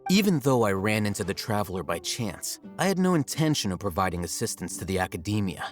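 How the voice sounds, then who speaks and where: A man playing a cool, calculating young scholar in a video game, articulate, measured and detached. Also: lowish voice, big pitch swings, soft background music. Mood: detached, explaining.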